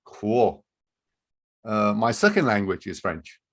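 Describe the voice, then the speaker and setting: A man with a somewhat low voice walks listeners through the steps over a video call. He talks as a recruiter at a live webinar, loosely and conversationally, laying things out one point at a time. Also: sing-song, takes it slowly. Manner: loose, conversational, explaining step by step. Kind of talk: live online webinar talk.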